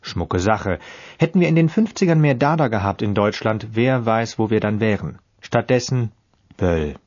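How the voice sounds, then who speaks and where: A man delivers an experimental spoken-word piece in a dry, declamatory way. His tone turns without warning from flat instruction to sudden emphasis. The recording sounds dull and lo-fi. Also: fairly low pitch, moderate pace. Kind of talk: experimental radio piece reading.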